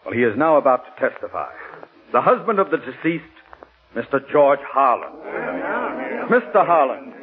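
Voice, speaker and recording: An actor playing a relentless, formal prosecutor who fires insistent questions in a 1940s radio courtroom drama. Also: male voice, mid-range voice, very animated, moderate pace, pauses often, natural voice. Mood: grave, declamatory. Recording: muffled, hissy 1940s radio broadcast.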